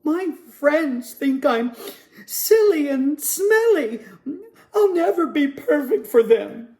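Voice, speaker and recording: A man voicing a sad, lonely young male penguin in a storybook reading, speaking slowly and wistfully. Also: very high-pitched, very animated, a little mellow, pitched above the performer's own voice, exaggerated voice.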